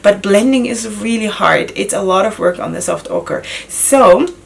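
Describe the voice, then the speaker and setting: Chatty raspy woman with a cold. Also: medium pitch, lively intonation, moderate pace. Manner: chatty, self-questioning, laughs often. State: hoarse from a cold. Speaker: beauty vlogger. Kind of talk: casual makeup tutorial talk. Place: small indoor room.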